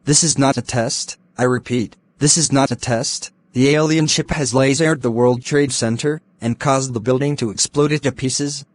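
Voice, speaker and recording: A man's voice: mid-range voice, steady tone, moderate pace, few pauses. A man reading a scripted mock emergency broadcast as the alert announcer.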